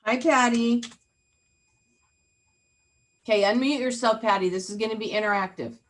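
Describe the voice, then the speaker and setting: A woman's voice: on the high side, normal speed. Chatty woman teaching over a video call. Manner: chatty, teasing, asks the group questions. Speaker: real estate trainer. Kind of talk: interactive online training session.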